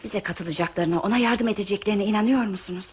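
A woman's voice: mid-range voice, quick pace, darkish tone, a little hiss or hum, lightly acted. A woman acting in a Turkish radio play as a firm, practical and skeptical train passenger with formal diction. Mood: skeptical, supportive.

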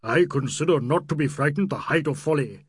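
A man voicing a pompous, excitable old Indian nobleman in an audiobook. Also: fairly high pitch, fairly quick, husky, no room sound, studio quality. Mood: indignant. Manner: pompous, exclamatory.

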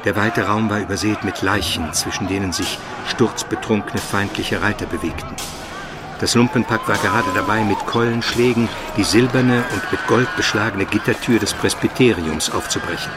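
A man's voice: fairly low pitch, moderate pace, heavy background noise, lightly acted. A man playing an educated Byzantine minister who narrates his memories solemnly and vividly in a radio play. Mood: horrified, solemn. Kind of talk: dramatic scripted first-person narration, radio play.

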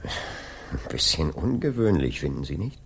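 An actor playing a politely persistent police inspector in a radio play, questioning insistently with drawn-out, swooping phrases and a creaky voice. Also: male voice, a bass voice, big pitch swings, a bit bright, full character voice. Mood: needling. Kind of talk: 1980s German radio play acting.

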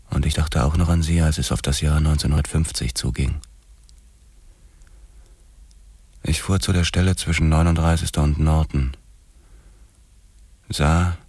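An actor playing a brooding, world-weary ex-cop, narrating a noir radio drama in a measured, husky voice. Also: male voice, rumbling low, darkish tone, no room sound, exaggerated voice. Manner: measured, somber, introspective. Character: brooding, world-weary male ex-cop recalling his obsession.

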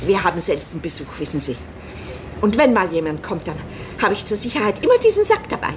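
A woman's voice: medium pitch, huge pitch swings, fast, slight background noise. Eccentric lady, wildly swooping voice. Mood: whimsical, gracious. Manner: whimsical, imperious, theatrical. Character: eccentric, suspicious, haughty lady of the house. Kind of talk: radio drama acting.

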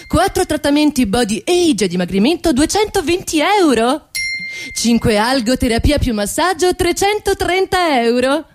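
An actress playing a pushy beauty-salon saleswoman in her fifties, with an exaggerated sales pitch in satirical radio theatre. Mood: promotional, brisk. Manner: salesy recitation, exaggerated emphasis. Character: pushy fifty-ish beauty-salon saleswoman in doctor's coat.

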